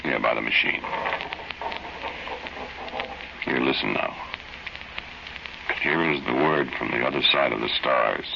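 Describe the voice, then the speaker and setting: An actor playing an intense, obsessed man, halting and insistent as he delivers ominous warnings in an old-time radio horror play. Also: a bass voice, gravelly, sharp tone, slight background noise, full character voice. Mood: ominous, fatalistic. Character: intense, obsessed man announcing an alien invasion. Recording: muffled old radio broadcast with music.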